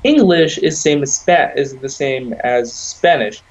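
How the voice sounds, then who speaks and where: A man's voice: medium pitch, expressive, a little slow, dull audio. A young man whose first language is Arabic, co-hosting a casual podcast, rambling along and filling gaps with "you know". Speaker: podcast co-host. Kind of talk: casual chat.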